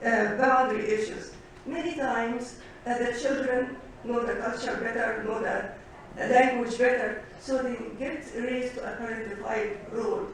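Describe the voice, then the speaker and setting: A woman physician giving a conference presentation, halting, with many pauses and fillers as she works through lists. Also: a little high. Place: conference hall. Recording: echoey hall, distant mic, faint noise.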